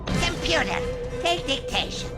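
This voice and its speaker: A woman's voice: extremely high pitch, lively intonation, measured pace, music in the background. An actress voicing an imperious, treacherous female villain giving orders in a video game. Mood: coolly scheming.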